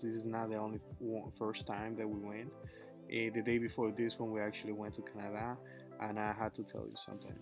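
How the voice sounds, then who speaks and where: Laid-back Ecuadorian-accented man, low and flat. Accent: Ecuadorian Spanish. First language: Spanish. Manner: chatty, loose, many fillers, drops Spanish words. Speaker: travel vlogger. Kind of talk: casual travel vlog narration.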